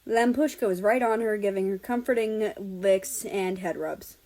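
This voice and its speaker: A woman narrates a piece of amateur fiction in a medium-pitched voice. She reads it aloud as a storyteller, in the manner of a homemade audiobook. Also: moderate pace, warm tone. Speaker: audiobook-style reader of fan fiction.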